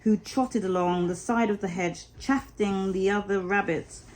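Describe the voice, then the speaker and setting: Woman reading a kids storybook, really slow n soft-toned, expressive dramatic phrasing. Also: mid-range voice, low-quality recording.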